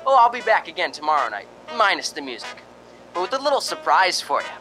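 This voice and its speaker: A woman playing an excitable 1940s radio disc jockey with hammy, teasing showman patter in a radio drama. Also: lowish voice, quick pace, soft background music, low-quality recording. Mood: excited, teasing. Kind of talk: old-time radio drama acting.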